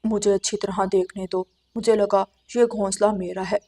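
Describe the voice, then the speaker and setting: A woman's voice: mid-range voice, flat delivery, normal speed, warm tone, lightly acted. A woman voicing an aggrieved, plaintive male pigeon in a children's cartoon. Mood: insistent. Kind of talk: Hindi children's cartoon dialogue.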